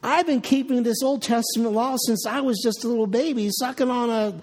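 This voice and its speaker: A man delivers a verse-by-verse sermon, reading a passage and then restating it in the first person. His voice sits high for a man and has a husky, gravelly edge. It rings with the long reverb of a large hall. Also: brisk. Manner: reads scripture aloud then paraphrases it in first person. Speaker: preacher. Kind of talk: expository Bible sermon.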